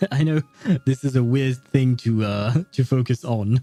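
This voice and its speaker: A man's voice: medium pitch, measured pace, dark tone, no room sound. A man who is a non-native English speaker chats casually with his livestream viewers in a polite, hesitant, rambling way. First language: not English. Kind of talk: casual livestream chat with viewers over gameplay. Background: faint game music.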